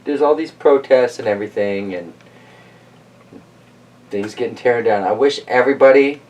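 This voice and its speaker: A man reading a storybook aloud in an expressive, informal and chatty way. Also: mid-range voice, normal speed, far from the mic, poor audio. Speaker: storybook reader and host. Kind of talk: storybook narration with casual asides.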